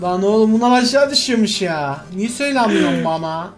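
A man hosting a gaming video, bantering casually with a friend in an excitable, slangy, exclaiming way. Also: high voice, a little fast.

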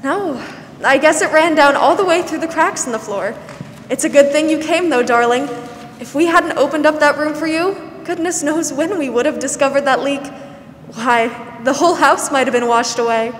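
A young woman playing a warm, pleasant, fussing woman of sixty in a 1940s-style radio drama on stage. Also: high voice, moderate pace, lightly acted. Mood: warm, practical. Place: large theatre stage, indoors. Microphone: stand microphone, masked. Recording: echoey stage hall, faint noise.

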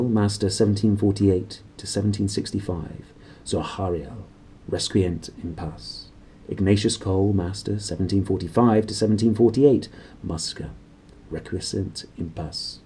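A man in his thirties reading a children's novel aloud with animated, dramatic emphasis, recorded in a small room. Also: fairly low pitch, slightly rough. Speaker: storybook reader. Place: small cluttered room indoors.

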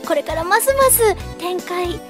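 A woman's voice: very high-pitched, expressive, moderate pace, over music. A voice actress giving a cheerful cast comment, tripping over her words, in a recording studio.

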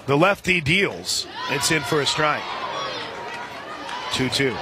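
A male radio announcer calls live softball play by play. His voice sits on the high side for a man. A clearly audible outdoor ballpark crowd and steady noise run behind him. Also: slow. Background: ballpark crowd and outdoor ambience.

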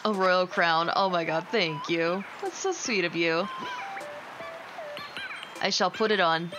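A woman's voice: on the low side, expressive, slow, over music, rough recording. A woman chatting casually while she plays a video game, reacting with enthusiastic, gushing exclamations. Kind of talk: casual gaming chat and reactions.

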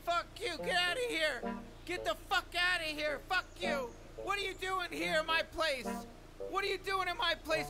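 A tiny, frantic game creature shrieks in a very high voice, defending his home turf. He rants over and over in outrage, with music playing behind him. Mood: furious. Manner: shrieking, foul-mouthed, repetitive ranting. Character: frantic territorial little creature guarding his tree world. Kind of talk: video game character performance.

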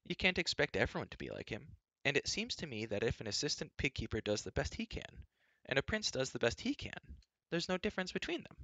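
A man's voice: medium pitch, huge pitch swings, brisk, bright, forceful tone, no room sound, voice pushed up, exaggerated voice. A man voicing a spirited, outspoken young princess in a storybook, with a prim, chiding way of talking. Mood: tart, reasoning. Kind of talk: audiobook character voice.

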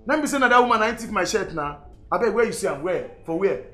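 A man's voice: sits high, animated, fast, a bit bright. A man with a Nigerian accent playing an evasive young boyfriend in a Pidgin comedy-drama, stammering and whining his innocence. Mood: flustered, defensive. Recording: echoey room, music behind.

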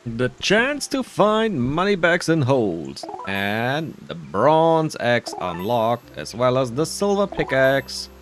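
A man's voice: mid-range voice, big pitch swings, slow, few pauses, slight background noise. A man giving casual, rambling commentary on his own gameplay, with muttered, self-deprecating asides. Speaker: gamer narrating his playthrough. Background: faint game music.

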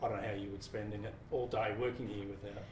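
A middle-aged man from Australia hosting an interview, relaxed and informal, with many fillers and the odd "mate". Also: fairly low pitch, fast talker. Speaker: interview host. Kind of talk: casual interview. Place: office meeting room with large windows. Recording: roomy office with faint noise.

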